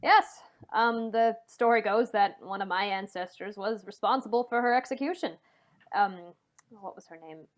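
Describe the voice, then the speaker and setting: A woman playing an evasive gentleman under police questioning in an old-time radio play, halting and defensive. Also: mid-range voice, natural voice. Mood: nervous, evasive. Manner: halting, stammering.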